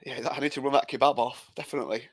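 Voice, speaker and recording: A man's voice: mid-range voice, steady tone, racing, no echo, clean studio sound. An English man co-hosting a football podcast in casual chat, often restarting his sentences. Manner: hesitant, lots of repeated starts. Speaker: podcast co-host.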